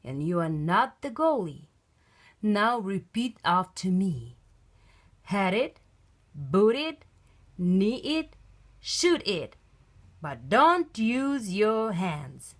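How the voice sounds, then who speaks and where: Woman playing an emphatic, animated frog dad coach. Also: mid-range voice, drawn-out words, pauses often, exaggerated voice. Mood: instructing. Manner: emphatic, instructing, calling out. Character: earnest father frog, bossy assistant soccer coach.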